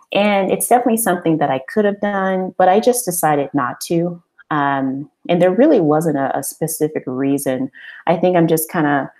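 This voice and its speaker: A woman's voice: sits low, a little mellow. A woman hosting an informal business webinar, talking in an encouraging, conversational way and posing rhetorical questions. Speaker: webinar host and business coach.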